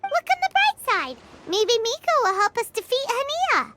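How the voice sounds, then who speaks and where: A woman voicing a tiny, excitable, childlike fairy companion in a video game, exclamatory and expressive. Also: very high voice, moderate pace, very noisy, heavily acted. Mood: chatty. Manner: refers to self in third person, exclamatory, expressive. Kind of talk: animated video game voice acting. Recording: music behind the voice.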